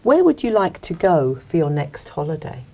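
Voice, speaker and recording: A woman's voice: deep voice, very animated, normal speed, mellow. A woman examiner politely asking candidates questions in a recorded speaking exam. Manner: polite, encouraging prompts. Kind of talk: exam interview.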